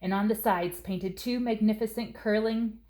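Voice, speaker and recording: A woman reading a children's story aloud as narrator, with expressive, deliberate phrasing. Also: mid-range voice. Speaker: storybook reader.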